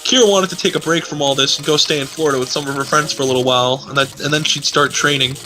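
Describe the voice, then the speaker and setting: A man's voice: a little high, steady tone, fast, low-quality recording. A man streaming a read-aloud of amateur fiction in an amused, mockingly dramatic style with sarcastic asides. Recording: music playing behind the voice. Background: music.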